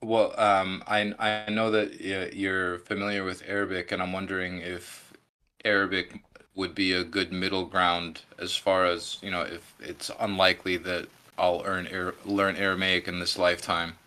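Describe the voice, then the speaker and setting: Very deep-voiced hesitant man asking questions. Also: level tone, moderate pace, pushed and bright. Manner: hesitant, polite, many fillers. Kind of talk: casual chat.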